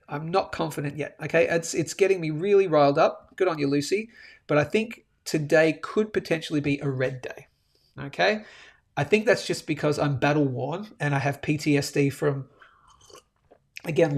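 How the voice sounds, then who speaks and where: A man hosting a live chart-analysis show, animated and a bit sniffly, tagging his points with "okay?". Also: fairly high pitch, gravelly. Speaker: host of a daily live precious-metals show. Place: indoors, a different room than usual.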